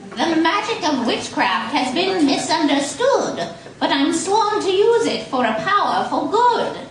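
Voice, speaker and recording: High, swooping old witch. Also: very animated, slight background noise, exaggerated voice. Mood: imperious incanting. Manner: theatrical incantations, drawn-out. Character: old witch heroine chanting rhymed spells, imperious, righteous. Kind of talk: old-time radio serial acting. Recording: echoey live coffee house, distant mic.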